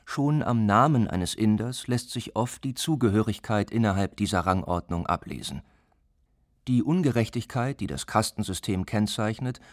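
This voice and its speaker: A man reading a scripted report as a reporter, even and measured. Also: on the low side, dry recording, studio quality. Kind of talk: scripted narration.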